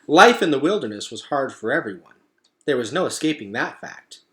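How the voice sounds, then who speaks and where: Guy reading a kids book out loud, teacher style, very animated, medium pitch. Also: fairly quick. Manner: expressive, storytelling delivery. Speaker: teacher reading aloud to pupils. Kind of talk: expressive storybook narration.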